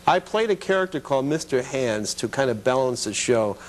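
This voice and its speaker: A middle-aged man, a guest in a casual interview, reminisces haltingly and pauses as he searches for details. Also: mid-range voice, animated. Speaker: talk show guest; advertising executive, former children's TV producer.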